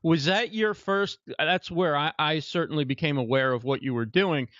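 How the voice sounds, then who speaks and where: A man's voice: on the high side, fairly bright tone, no echo, dull audio. A man hosting a casual interview over a video call, affirming his guest and ending points with "right?", speaking into a studio microphone. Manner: affirming, quick to agree, ends points with "right?". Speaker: host. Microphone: studio microphone with headphones.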